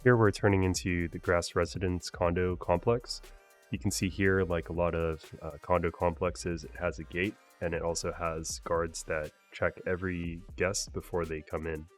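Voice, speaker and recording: A man talking casually as a travel vlogger, relaxed and chatty with frequent fillers. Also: sits low, moderate pace, soft background music. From United States. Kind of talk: casual travel vlog narration.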